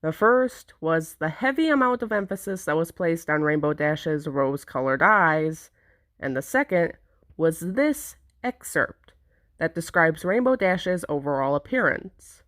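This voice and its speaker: A woman narrating a script to viewers in a conversational, theatrical way with deliberate emphasis. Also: low voice, animated, fairly bright tone, no room sound, studio quality. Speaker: narrator presenting own fan project.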